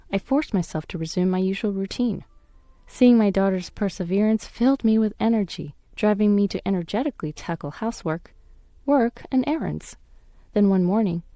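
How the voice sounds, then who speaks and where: Female story narrator, mellow tone, dry close recording, scripted first-person domestic drama. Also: mid-range voice, no echo. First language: English.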